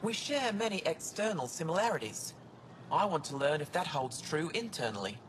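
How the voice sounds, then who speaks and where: An actor voicing a brusque older alien biologist in a video game, with a curt, formal, precise way of talking. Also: male voice, fairly high pitch, very animated, normal speed. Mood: curt, aloof. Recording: clearly noisy game ambience.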